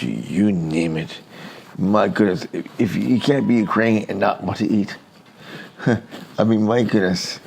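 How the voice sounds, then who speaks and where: Canadian man, gravelly, effusive tribute, faint noise. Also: lowish voice, a little mellow. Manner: effusive, keeps addressing "my friends". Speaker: listener sending a message. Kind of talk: heartfelt spoken tribute. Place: Hamilton, Canada.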